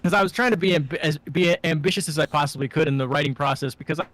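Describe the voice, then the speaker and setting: Rambling young man, higher-pitched, dry sound. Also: steady tone, fast talker, a bit bright, no echo. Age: young adult. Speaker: podcast co-host and student filmmaker. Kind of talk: casual chat.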